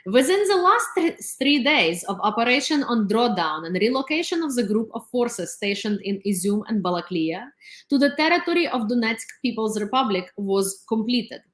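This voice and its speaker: Pompous russian official reading a statement, a woman putting on a mocking accent, a bit higher, roomy echo. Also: sing-song, moderate pace.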